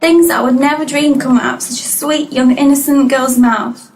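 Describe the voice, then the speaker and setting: A woman's voice: high voice, fairly even intonation, normal speed, a little mellow, slight background noise, close to an everyday voice. An actress playing an anxious, earnest young woman of about twenty who speaks hesitantly, in a comedic audio drama. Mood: anxious, distressed.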